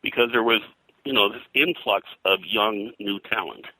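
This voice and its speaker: An older man reminiscing in an interview as a comics industry veteran, in a halting, rambling way peppered with "you know". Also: lowish voice, sharp tone, no room sound, low-quality recording.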